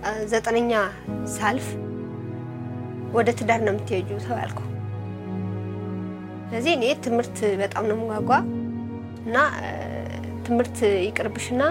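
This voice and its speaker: A woman from Ethiopia recounting her own life in an interview clip. She speaks very fast in a fairly high voice, and loud music plays over her words. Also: flat delivery. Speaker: testimony interviewee.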